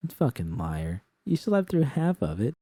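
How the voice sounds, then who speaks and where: Man voicing a sardonic, foul-mouthed young woman, higher pitched. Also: wildly swooping pitch, a little slow, warm tone, no echo. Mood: teasing. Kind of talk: acted audiobook dialogue.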